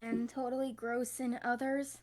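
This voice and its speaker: A woman's voice: a little high, level tone, moderate pace, darkish tone, lightly acted. A woman playing a snarky, bookish young girl with a dry, sarcastic delivery in a cartoon script read.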